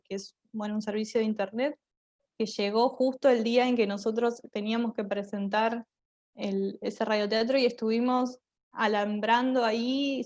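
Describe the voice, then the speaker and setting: A woman's voice: a little high, a little mellow. A woman with an Argentine accent speaking as a guest, giving informal, anecdotal answers about her work. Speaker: guest, radio theatre maker.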